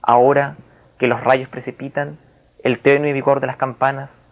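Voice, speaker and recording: A man talks like a writer in a thoughtful interview. His voice is creaky and stays within a narrow, even range. His phrasing is formal and literary, delivered haltingly as he searches for the exact word. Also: medium pitch. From Chile. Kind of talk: reflective literary interview with recited verse.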